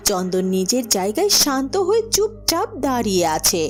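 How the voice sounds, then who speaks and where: A woman's voice: a little high, very animated, fairly quick, soft background music. A woman narrating a scripted fairy tale as a cartoon storyteller.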